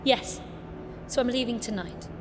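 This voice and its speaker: A woman's voice: on the high side, measured pace, noisy background, close to an everyday voice. Woman playing a sarcastic, fiery young noblewoman. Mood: wounded, brisk. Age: young adult. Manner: arch, biting, formal wit. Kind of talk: audio drama acting.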